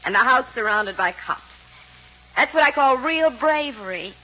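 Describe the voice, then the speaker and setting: A woman's voice: high voice, lively intonation, measured pace, bright, forceful tone, a little hiss or hum, exaggerated voice, rough recording. A sardonic, defiant woman. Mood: mocking. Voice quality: sardonic, cutting. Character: sharp-tongued, defiant adult woman. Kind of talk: 1940s radio acting.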